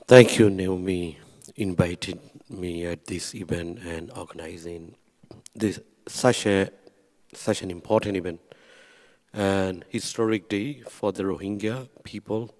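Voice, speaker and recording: Slow, earnest man. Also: low voice, steady tone, a little mellow. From Burma. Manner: earnest, non-native grammar, repeats phrases for emphasis. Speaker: panelist. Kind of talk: speaking at a panel event. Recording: reverberant hall.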